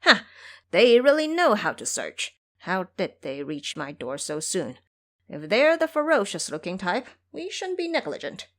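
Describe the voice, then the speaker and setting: A woman's voice: medium pitch, big pitch swings, measured pace. A woman voicing a menacing old male monster king in an audio drama, taunting and commanding. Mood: thrilled.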